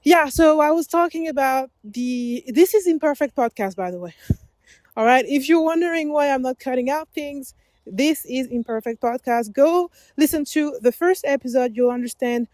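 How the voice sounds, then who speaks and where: A woman's voice: high voice, moderate pace, darkish tone, no room sound. A woman whose first language is French, hosting an unedited solo podcast while walking outdoors along a forest path, rambling and correcting herself as she goes. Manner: rambling, self-correcting, laughs often. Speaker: podcast host.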